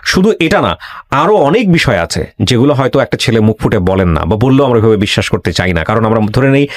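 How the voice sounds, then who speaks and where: A man's voice: medium pitch, big pitch swings, quick pace, no room sound. A middle-aged man with a Bangladeshi accent hosting an earnest advisory talk, speaking emphatically, repeating phrases and dropping in English words. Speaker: talk show host. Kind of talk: earnest advisory monologue and interview.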